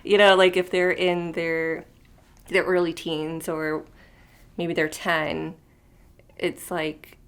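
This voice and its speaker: A woman in her thirties talking casually to her camera, her voice sitting a little low. She rambles and thinks out loud, leaning on fillers, and now and then drops into a soft near whisper. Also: little pitch movement, slow pace, long pauses, clear, resonant tone. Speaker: vlogger. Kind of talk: unscripted casual chat to camera.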